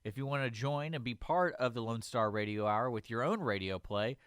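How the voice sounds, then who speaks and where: Male station announcer, steady promo read, smooth and resonant tone. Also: medium pitch, brisk, no room sound, clean studio sound.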